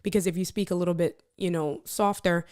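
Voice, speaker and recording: A woman with a strong, loud voice hosting a live stream. She tests microphones and talks straight to her viewers in an energetic, playful way. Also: lowish voice, a little fast, clean tone. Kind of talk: casual live stream chat. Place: room with little furniture. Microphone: switching between test microphones at different distances.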